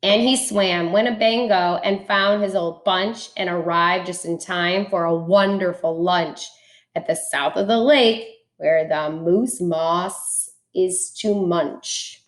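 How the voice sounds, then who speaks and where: A woman reads a children's storybook aloud in a dramatic, deliberate rhyming delivery. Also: on the low side, steady tone, roomy sound. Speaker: teacher reading a picture book aloud.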